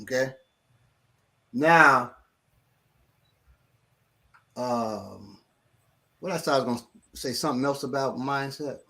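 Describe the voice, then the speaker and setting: A man's voice: mid-range voice, takes it slowly, long pauses. A middle-aged man, a martial arts instructor, telling a story in casual talk and acting out what others said. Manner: animated, acts out quotes of others.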